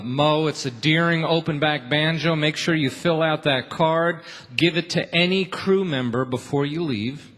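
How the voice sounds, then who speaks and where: A man's voice: medium pitch, a bit bright. Folksy man hosting a live radio show. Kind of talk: casual live stage announcements. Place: theatre stage, before a live audience.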